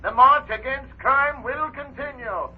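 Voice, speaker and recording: A woman's voice: sits high, a little slow, breathy edge, dull audio. A woman acting an earnest, formal radio broadcaster making a declamatory speech in a 1930s drama. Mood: solemn, resolute.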